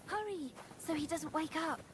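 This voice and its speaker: A woman's voice: high voice, normal speed, lightly acted. An actress playing a scheming, foul-mouthed, bossy woman in a video game, speaking in a conspiratorial, coarse way. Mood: urgent, commanding. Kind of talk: dramatic video game voice acting.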